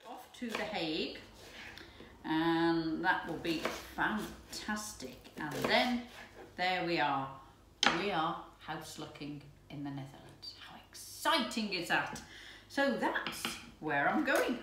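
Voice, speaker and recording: A woman in her fifties chatting excitedly to camera while cooking in her home kitchen. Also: fairly low pitch, slow. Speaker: lifestyle vlogger. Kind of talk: casual chat to camera. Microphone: camera's built-in mic from a few steps away. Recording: echoey room, distant mic, background noise.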